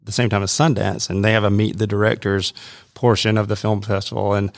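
Gravelly, lowish male host, relaxed interviewer, dry close sound. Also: fairly quick, dry recording. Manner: easygoing, asks questions, hesitates with "uh". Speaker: host, film festival executive director. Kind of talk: casual interview chat.

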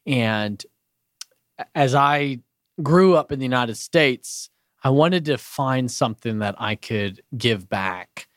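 A man from Bulgaria speaking as a radio guest in a studio, earnest and halting with many restarts. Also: mid-range voice, dry recording. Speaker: radio show guest, business owner. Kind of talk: casual radio interview.

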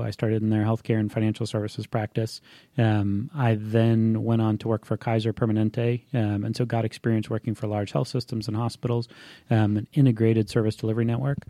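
A man's voice: fairly low pitch, dark tone, dry recording, clean studio sound. A man in his forties from Hawaii, a founder and doctor, answering interview questions in an easygoing, lightly joking way. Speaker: guest, company founder and physician.

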